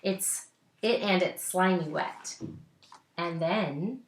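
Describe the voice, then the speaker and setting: A woman teacher reading a picture book aloud expressively, pausing to put simple questions to young listeners. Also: fairly low pitch, reverberant.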